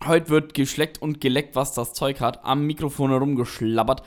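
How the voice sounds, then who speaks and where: A man's voice: medium pitch, fairly even intonation, normal speed. Playful young man co-hosting a podcast. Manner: playful, silly, jokey. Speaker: podcast co-host. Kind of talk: casual chat.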